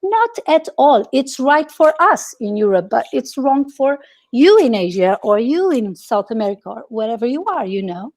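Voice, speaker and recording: A woman from Portugal, an etiquette consultant, chatting on a video call with lively restarts. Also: on the high side, moderate pace. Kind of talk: casual video call chat.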